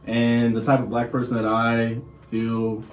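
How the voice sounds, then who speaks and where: A young man from Texas talks casually as a guest. His mid-pitched voice stays quite flat, and the room echoes clearly around him. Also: measured pace. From Houston, Texas. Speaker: podcast guest. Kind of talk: casual self-introduction and chat. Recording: echoey room, faint crowd.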